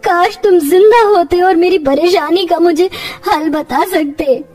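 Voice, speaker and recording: A devoted young village mother played by a woman with a very high, emotional voice. She sounds plaintive, in cartoon storytelling style, slightly distant over music. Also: measured pace, darkish tone. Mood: despairing. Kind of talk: dramatised children's cartoon story.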